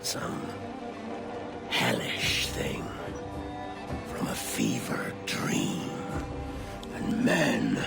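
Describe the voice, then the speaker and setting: Menacing, wildly theatrical gravelly pirate captain. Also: male voice, rumbling low, wildly swooping pitch, loud music behind the voice, exaggerated voice. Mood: ominous. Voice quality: gravelly, breathy growl dropping to whispers. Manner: slow, grandiose, swinging between whisper and roar. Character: menacing theatrical middle-aged pirate captain, volatile and brooding. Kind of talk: cinematic video game acting.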